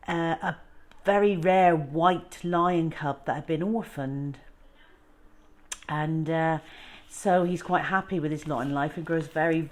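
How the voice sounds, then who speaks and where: Low female voice, slow pace, children's storytime reader, informal and expressive read-aloud.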